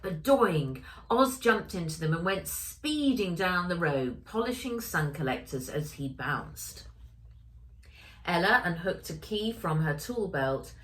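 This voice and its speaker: A woman reads a children's story aloud as its author. She goes slowly, with a lowish voice and very lively intonation that rises and dips. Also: rough recording. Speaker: author reading own story.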